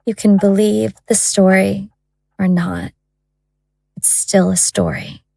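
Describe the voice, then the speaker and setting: An actress playing a wry, hard-boiled storyteller, narrating a crime tale in a deliberate, matter-of-fact way. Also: female voice, mid-range voice, gravelly, mellow, no echo, clean studio sound, exaggerated voice. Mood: grim, matter-of-fact.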